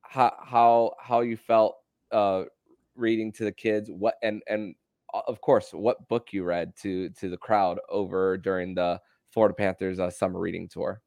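Man, sports podcast host, fairly deep voice, stop-start phrasing, dry sound. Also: little pitch movement, measured pace, darkish tone, no room sound. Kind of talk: hockey podcast hosting and interviewing.

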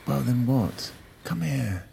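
An actor playing a needy, wounded husband fresh from sleep, halting and muttering in a naturalistic audio drama. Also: male voice, medium pitch, lively intonation, creaky, dark tone, a little hiss or hum, exaggerated voice. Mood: pleading, tender. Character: needy, wounded, frustrated husband just woken.

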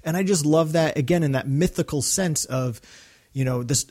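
A man's voice: a little high, moderate pace, a little mellow. A man co-hosting a podcast, chatting casually in an earnest, reflective way and now and then voicing quotes. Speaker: podcast co-host. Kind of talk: casual discussion of a radio drama.

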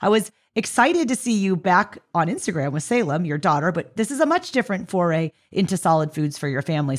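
Female podcast host, lower voice, conversational interviewer who explains and asks detailed questions.

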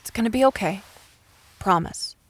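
Actress doing a tired caring gal pal in an audio drama, casual and comforting, natural sounding. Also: female voice, medium pitch, animated, normal speed, natural voice. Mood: gently reassuring. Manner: casual, reassuring. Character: caring, tired, casually sweary female friend. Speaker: voice actor.

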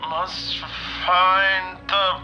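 An actor playing a fungus-infected bank robber, moaning and crying out in pain in a radio drama. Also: female voice, fairly low pitch, level tone, extremely slow, sharp tone, muffled recording, faint music behind, full character voice. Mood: pained, chanting.

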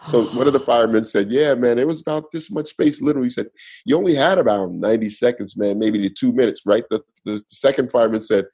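A man's voice: medium pitch, fast talker, a little mellow, slightly rough. A man telling a long personal story in a casual podcast chat, laid-back and rambling with restarts. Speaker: guest storyteller.

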